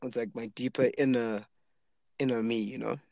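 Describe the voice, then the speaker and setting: Flat-voiced young man from Zimbabwe. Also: mid-range voice, normal speed, gravelly, dry recording, clean studio sound. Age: 20s. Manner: relaxed, rambling with many fillers. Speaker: aspiring rapper. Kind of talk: casual chat.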